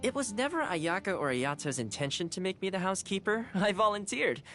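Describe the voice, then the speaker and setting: A woman's voice: mid-range voice, animated, fairly quick, a bit bright, soft background music, natural voice. A woman voicing a cheerful, caring young male housekeeper in a video game, friendly and earnest. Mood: cheerful, helpful. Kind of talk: video game character voice lines.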